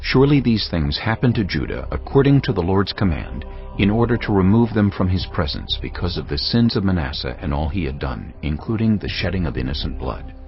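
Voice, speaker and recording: A man narrating scripture in a dramatized audio Bible with grave, expressive delivery. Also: low-pitched, a little fast. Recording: dull lo-fi with music underneath.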